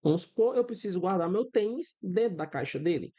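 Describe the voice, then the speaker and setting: A man's voice: sits high, animated, fairly quick, dark tone. A Brazilian man in his thirties teaching a coding class step by step, checking in with his students often and using everyday examples. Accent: Brazilian Portuguese. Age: 30s. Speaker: programming instructor. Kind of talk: screen-recorded coding lesson.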